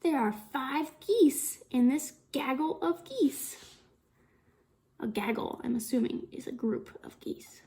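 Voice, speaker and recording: Female children's storytime reader, high voice with big swoops, sing-song and interactive, speaking to little ones. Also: animated, a little mellow. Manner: sing-song, slow and deliberate, with exaggerated expression and frequent questions. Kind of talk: interactive read-aloud of a picture book for young children.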